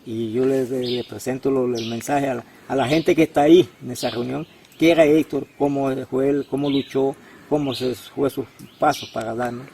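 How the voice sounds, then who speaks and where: A man, a community activist, giving a testimonial interview in a halting way, with many restarts and tag questions. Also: mid-range voice, steady tone, vocal fry, warm tone, a little hiss or hum.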